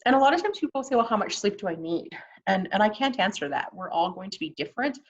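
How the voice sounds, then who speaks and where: A woman's voice: mid-range voice, animated, quick pace, lo-fi sound. A Canadian woman presenting an informal online workshop in a conversational, encouraging way. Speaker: workshop presenter on mental health.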